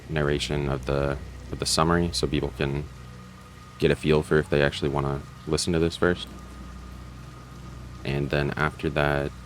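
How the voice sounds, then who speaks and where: A man giving casual, unscripted commentary as a channel host, in a hesitant, rambling way. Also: extremely low pitch.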